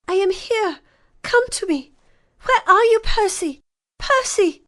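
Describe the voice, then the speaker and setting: A woman's voice: very high-pitched, lively intonation, slow, a bit bright, voice pushed up, exaggerated voice. A woman voicing a desperate young noblewoman who cries out hysterically. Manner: shrieking, hysterical. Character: desperate young noblewoman, torn between hope and fear. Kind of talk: audiobook character voice.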